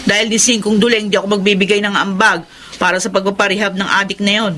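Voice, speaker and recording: A woman's voice: mid-range voice, little pitch movement, quick pace, bright, forceful tone, a little hiss or hum, close to an everyday voice. A woman playing a blunt, resentful grown daughter with an emphatic, scornful delivery in a radio drama. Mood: bitter, scolding. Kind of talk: Tagalog radio drama acting.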